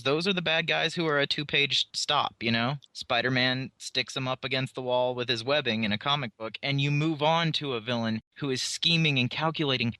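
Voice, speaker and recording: Male panelist, bright punchy tone, animated casual talk, dry close sound. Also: mid-range voice, no echo. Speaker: podcast panelist. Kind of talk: casual, conversational explanation.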